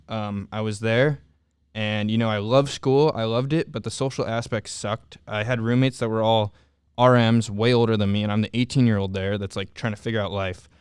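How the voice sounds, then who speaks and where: Smooth-voiced young man telling his story. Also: lowish voice, no echo, studio quality. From Southern California. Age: young adult. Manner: candid, halting, many fillers and restarts. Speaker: returned service missionary guest. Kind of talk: casual interview storytelling.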